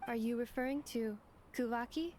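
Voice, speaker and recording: Woman voicing a high, precise robot girl. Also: normal speed, warm tone, a little hiss or hum, close to an everyday voice. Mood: explanatory. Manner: formal, technical, literal phrasing. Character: female robot companion, precise, formal, analytical, courteous. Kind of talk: video game voice acting.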